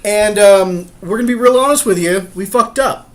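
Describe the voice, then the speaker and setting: Higher-voiced man, casual podcast host. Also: normal speed. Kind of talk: casual, unscripted chat with a co-host.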